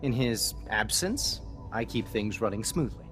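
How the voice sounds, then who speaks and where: A man's voice: lowish voice, animated, unhurried, a bit bright, faint music behind. A man playing a curt, officious bureau chief in a video game, speaking in formal, precise phrases. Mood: businesslike. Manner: formal, clipped, precise exposition. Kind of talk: scripted game dialogue.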